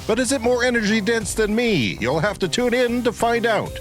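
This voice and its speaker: A man's voice: very high-pitched, animated, normal speed, a bit bright, soft background music. A man co-hosting a podcast in casual banter, with a jokey, exclamatory way of talking. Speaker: podcast co-host. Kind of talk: casual bantering chat with some scripted show intro.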